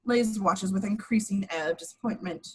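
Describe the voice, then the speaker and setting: A woman's voice: medium pitch, moderate pace, a little hiss or hum. A woman reading action descriptions as the narrator of a scripted table read.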